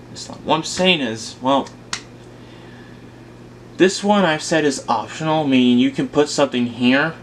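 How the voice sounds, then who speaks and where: A young man with a raspy, just-woken-up voice, rambling and correcting himself as he talks through his setup at home. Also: lowish voice, a bit bright, slight background noise. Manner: rambling, hesitant, self-correcting. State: raspy, tired morning voice. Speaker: fire alarm hobbyist. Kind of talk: casual talk to camera. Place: room at home beside a wall of alarms.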